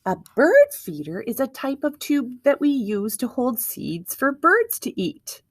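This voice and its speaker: A woman's voice: high voice, huge pitch swings, unhurried, dry recording, clean studio sound. A woman reading aloud to young children like a teacher, expressively, with questions and wondering asides to her listeners.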